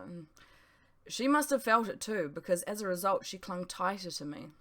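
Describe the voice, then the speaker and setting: A woman with a slightly low voice reads an audiobook. She narrates her own story in the first person, with expression. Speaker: author reading own audiobook.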